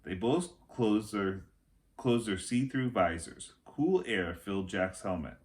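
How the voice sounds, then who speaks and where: A middle-aged man reading a children's chapter book aloud as a teacher would, with expressive narration. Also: fairly low pitch. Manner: slow, expressive narration with acted dialogue. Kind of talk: children's story read-aloud. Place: small room at home, indoors.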